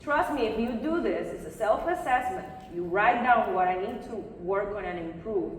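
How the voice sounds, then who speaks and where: A woman public speaking coach giving a live conference talk, expressive, encouraging and direct in her advice. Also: medium pitch, normal speed. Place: conference session room before a live audience. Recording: very echoey hall, far microphone, faint noise.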